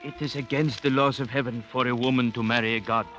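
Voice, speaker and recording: A man's voice: medium pitch, steady tone, fast talker, lightly acted. Guy doing a respectful loyal chief advising a king, formal n serious, mid voice, old time radio drama w music. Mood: grave, pleading. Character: deferential, cautious native hill chief serving a king. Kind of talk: 1950s radio drama acting. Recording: vintage 1950s radio broadcast, loud music.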